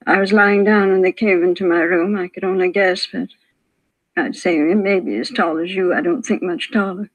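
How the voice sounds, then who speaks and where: An actress playing a frail, shaken older widow, hesitant, plaintive and apologetic, in a 1950s radio police drama. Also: female voice, fairly low pitch, fairly even intonation, moderate pace, lightly acted, low-quality recording. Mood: weak, unsure. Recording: vintage 1950s radio broadcast.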